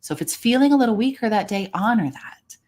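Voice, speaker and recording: A woman's voice: fairly high pitch, lively intonation, quick pace, darkish tone. A woman speaking as a voice coach, giving encouraging, explanatory advice in a conversational way. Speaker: voice coach, guest expert. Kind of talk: conversational expert advice in a livestream.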